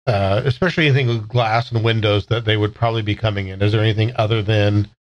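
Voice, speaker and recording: A man's voice: on the low side, steady tone, fast, highs cut off. Hesitant man playing a tabletop game. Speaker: player in a tabletop game podcast. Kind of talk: casual tabletop role-play.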